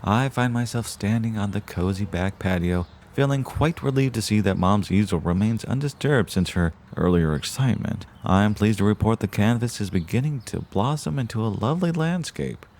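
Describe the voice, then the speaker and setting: A man's voice: lowish voice, expressive, normal speed, hardly any pauses, a little mellow. A man playing a chipper, folksy, old-fashioned podcast host. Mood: relieved, genial. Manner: folksy, genteel, old-fashioned flowery phrasing. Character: chipper, verbose small-town podcast host and dutiful son. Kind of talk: audio drama, mock radio-show narration.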